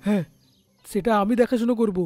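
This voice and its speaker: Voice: mid-range voice, steady tone, fairly quick, darkish tone, no room sound, faint music behind, natural voice. An actor playing a greedy, scheming male hunter who gloats in a cartoon. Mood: eager.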